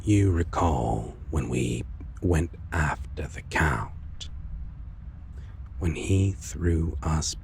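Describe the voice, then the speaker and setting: Very deep, gravelly, brooding male vampire played by a man. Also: lively intonation, slight background noise, exaggerated voice. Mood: rueful, confessional. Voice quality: low gravelly voice, often breathy or whispered. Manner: halting, confessional, old-fashioned formal phrasing. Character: brooding, world-weary male vampire, a tender lost lover. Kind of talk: dark fantasy audio roleplay, slow intimate monologue.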